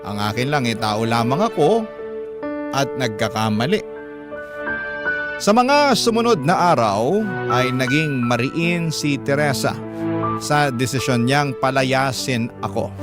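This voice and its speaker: A man's voice: medium pitch, lightly acted. A man playing a remorseful father, narrating his own story in a sorrowful, confessional radio drama style. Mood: wounded. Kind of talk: scripted first-person radio drama narration. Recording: loud music under voice.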